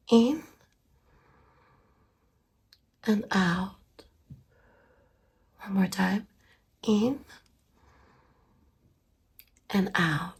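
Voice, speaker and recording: A woman playing a calm, soft-spoken therapist in a deadpan ASMR meditation roleplay, hushed and breathy, often dropping into a whisper. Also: medium pitch. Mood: soothing, gentle. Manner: slow, soothing, hushed, deadpan. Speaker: ASMR performer.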